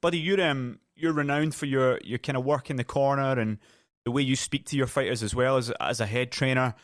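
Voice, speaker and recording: Podcast host at studio mic, affirming, friendly questions, dry close sound. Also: male voice, medium pitch, steady tone, fairly quick, no room sound. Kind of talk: casual interview. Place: small podcast studio indoors. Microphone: headphones and studio mic.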